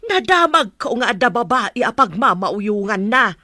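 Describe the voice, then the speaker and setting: A woman's voice: on the high side, big pitch swings, moderate pace, pushed and bright, no echo, heavily acted. A woman playing a concerned, insistent mother in a radio drama, speaking with an Ilocano accent. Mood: indignant. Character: concerned, insistent mother advising her married daughter.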